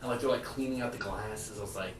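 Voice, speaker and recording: A man's voice: on the low side, fast, roomy sound, distant mic, background noise. A man, hosting a podcast in loose, joking casual chat and telling stories with casual swearing. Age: 40s. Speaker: podcast host.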